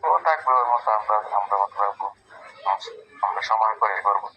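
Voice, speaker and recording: A man's voice: on the low side, fairly even intonation, brisk, sharp tone. A man in a casual private phone conversation, giving terse, brief replies. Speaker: son-in-law on a phone call. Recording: noisy recorded phone call.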